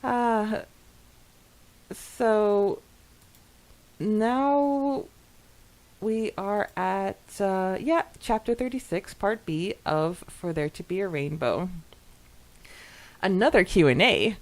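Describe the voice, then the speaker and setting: A woman's voice: medium pitch, takes it slowly, pauses often. A woman co-hosting a podcast in a giggly, chatty way, moving between casual talk and reading comments aloud. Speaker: podcast co-host.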